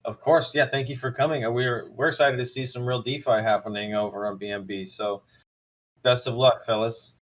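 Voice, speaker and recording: A man hosting a casual online crypto chat, relaxed, with frequent ums, trailing off softly. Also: mid-range voice, moderate pace. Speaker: host of crypto investor AMA.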